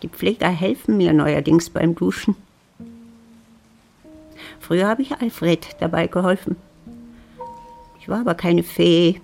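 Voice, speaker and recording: A woman playing a lonely, wry old widow in a German radio drama, musing and grumbling to herself in a creaky voice. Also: lowish voice, measured pace, pauses often, darkish tone, faint music behind. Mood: wry, brooding. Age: older.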